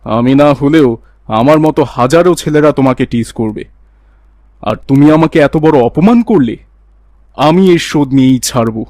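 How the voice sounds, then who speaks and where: A man's voice: mid-range voice, normal speed, long pauses, darkish tone, no echo, studio quality, natural voice. A man voicing a menacing, vengeful young street harasser in a cartoon. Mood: scornful. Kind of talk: cartoon villain dialogue.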